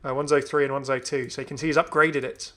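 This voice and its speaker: A man's voice: mid-range voice, little pitch movement, fast talker, fairly bright tone. A man hosting a gaming video, commenting casually and enthusiastically as he thinks aloud. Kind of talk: casual game update commentary.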